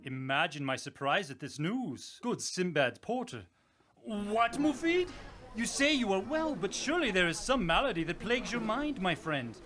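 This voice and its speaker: A man's voice: high-pitched, animated, moderate pace, a bit bright, a little hiss or hum. An actor playing a bold sailor who tells his tale in a theatrical, formal storybook manner for a radio drama. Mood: baffled, alarmed. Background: musical score.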